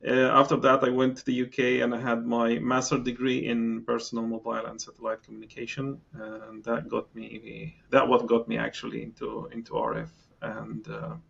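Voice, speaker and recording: A man's voice: medium pitch, monotone, moderate pace, vocal fry, few pauses, a bit bright, slightly rough, muffled recording. A middle-aged man from Palestine, a guest in a podcast interview, talking with many fillers and restarts. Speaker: engineering guest.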